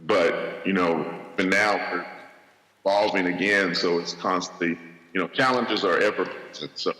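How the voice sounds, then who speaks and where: A man answering questions on a live panel, speaking in a halting, reflective way with pauses between phrases. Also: sits low, sharp tone. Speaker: entrepreneur panelist, chocolatier. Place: large event hall on stage. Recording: echoey hall with long reverb.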